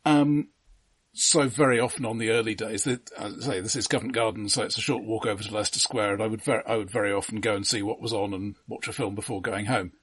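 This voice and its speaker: A middle-aged British man telling anecdotes casually as a podcast co-host, in a halting, precise way. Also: fairly low pitch, steady tone, fairly bright tone, husky. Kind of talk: casual chat, personal anecdotes.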